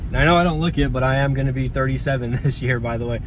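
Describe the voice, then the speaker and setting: A man in his thirties rambles to his viewers from behind the wheel, full of "you know" and restarts. His voice sits at an ordinary mid pitch. The car's road noise is loud under everything. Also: very fast. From South Texas. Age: 30s. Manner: rambling, many fillers and restarts. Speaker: delivery driver vlogger. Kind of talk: casual vlog talk while driving. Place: inside a car on suburban streets.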